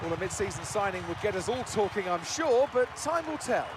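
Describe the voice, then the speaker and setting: A man's voice: sits high, big pitch swings, brisk. A man playing a Formula 1 television commentator in a video game, with projected, polished broadcast delivery. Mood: upbeat. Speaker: race commentator. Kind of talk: sports commentary. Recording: loud crowd noise behind.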